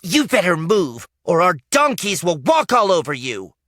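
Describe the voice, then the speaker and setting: A man's voice: medium pitch, wildly swooping pitch, slow pace, bright, forceful tone, no room sound, clean studio sound, pitched above the performer's own voice, full character voice. A man putting on a snappish young farm girl. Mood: annoyed. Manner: snapping, curt.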